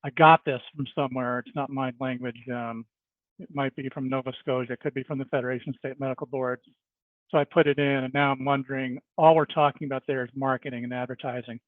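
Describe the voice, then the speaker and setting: A man's voice: medium pitch, fairly even intonation, fast, dry recording. A man speaking hesitantly at a committee meeting, often restarting his sentences, heard over a video call. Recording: dry tinny phone-like line.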